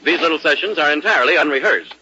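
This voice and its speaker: A male radio announcer from the 1940s with a very bright, forceful voice that has a rough, husky edge. His pitch sits a little high, and the old broadcast sounds lo-fi. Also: lively intonation, fast talker. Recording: dull, lo-fi 1940 radio broadcast.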